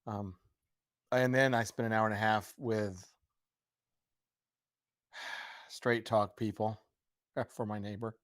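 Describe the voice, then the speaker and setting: A man co-hosting a livestream with casual, jokey banter, his voice raspy and hoarse from talking all day. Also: fairly low pitch, level tone, slow pace, pauses often, fairly bright tone, no room sound, studio quality. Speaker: livestream co-host.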